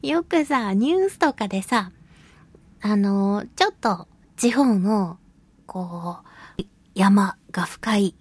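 A woman hosting a radio show solo, chatting casually and playfully to listeners with drawn-out words and colloquial asides. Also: mid-range voice, expressive, slightly rough, dry recording. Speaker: radio show host, voice actress.

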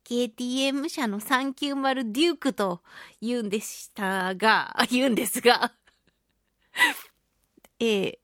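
High-voiced playful woman radio host. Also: unhurried, long pauses, no echo, clean studio sound. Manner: playful and animated, breaking into mock-grand phrasing and laughter. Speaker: radio show host and voice actress. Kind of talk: casual solo radio chat.

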